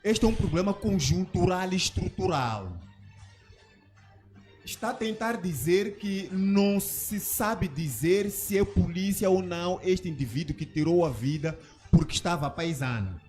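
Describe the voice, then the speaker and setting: A studio commentator giving a measured analysis, speaking deliberately and posing rhetorical questions. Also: mid-range voice, darkish tone.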